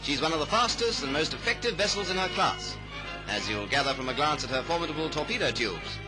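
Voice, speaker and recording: A man's voice: fairly high pitch, sing-song, brisk, rough recording. A man narrating a 1930s newsreel in a grand, declamatory, patriotic manner. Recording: old newsreel soundtrack under loud music. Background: loud music.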